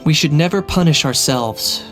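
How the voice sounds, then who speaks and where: A man playing a stoic, guarded young man in a video game, speaking in a measured, deadpan and reserved way. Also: fairly high pitch, lightly acted. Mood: reflective, resolute. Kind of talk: video game character acting. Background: music.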